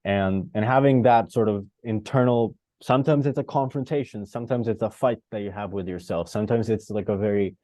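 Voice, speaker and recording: A man from Costa Rica with a low, soft, mellow voice, recorded dry and close on a video call. He thinks out loud and rambles, full of "um" and "like" and restarts as he searches for what he means. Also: fairly quick, hardly any pauses, no room sound. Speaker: participant. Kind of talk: reflective discussion on a video call.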